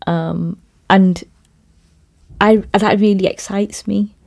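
A woman who works in theatre and youth work, speaking in a measured, thoughtful way in a podcast conversation. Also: medium pitch, fairly even intonation, vocal fry, darkish tone. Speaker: theatre maker and youth worker.

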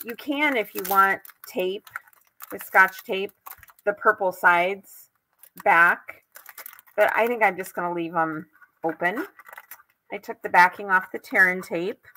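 A woman's voice: mid-range voice, measured pace, pauses often, sharp tone, a little hiss or hum, low-quality recording. An older woman with an American accent hosting a craft tutorial live from home, chatting casually as she demonstrates. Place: indoors at home.

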